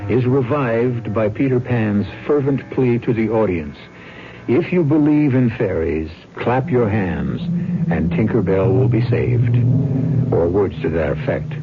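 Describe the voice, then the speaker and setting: A man's voice: medium pitch, slight background noise. A man hosting a radio mystery drama, narrating in a grave, theatrical, literary manner. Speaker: host and narrator. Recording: muffled old radio broadcast. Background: music.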